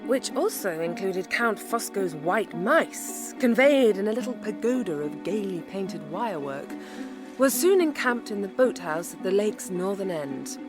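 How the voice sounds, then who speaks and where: A sharp-witted Victorian lady, mid-pitched, measured and wry, period drama, faint music underneath. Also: very animated. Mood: narrating. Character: shrewd, resolute young Victorian gentlewoman. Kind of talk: period audio drama narration and dialogue.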